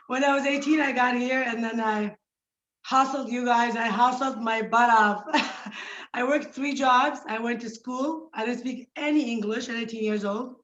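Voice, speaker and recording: A woman's voice: on the high side, unhurried, fairly bright tone, slight background noise. Upbeat woman in her forties, echoey call. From Iraq. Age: 40s. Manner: upbeat, laughs often. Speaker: guest. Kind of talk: telling her life story. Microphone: video call. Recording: echoey, dull video-call audio.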